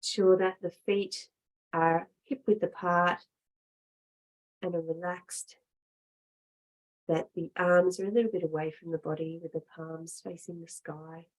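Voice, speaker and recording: A woman with a fairly low voice leads a guided relaxation as a yoga teacher. She gives measured instructions in short phrases, leaving long pauses between them. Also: steady tone, poor audio.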